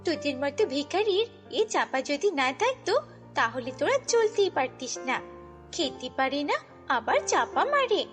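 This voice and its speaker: A woman's voice: sits high, sing-song, measured pace. A woman voicing a boastful, snobbish little rich girl in a children's fairy-tale cartoon, haughty and taunting. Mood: boastful, scornful. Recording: long reverb, music bed.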